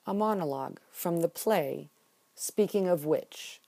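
A woman's voice: lowish voice, unhurried, vocal fry, mellow, dry recording. A woman giving a plain announcement of a performed piece and its writer. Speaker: monologue performer. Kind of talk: plain announcement of title and author.

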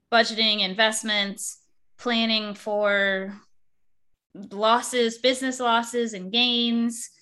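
A woman's voice: mid-range voice, pushed and bright. A young adult woman hosting a podcast interview, talking eagerly in long, rambling sentences with restarts. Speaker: podcast host.

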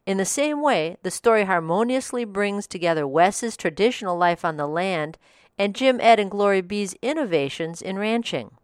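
A woman's voice: medium pitch, expressive, moderate pace, clean tone, dry recording, studio quality. A woman reading a scripted review as a podcast host.

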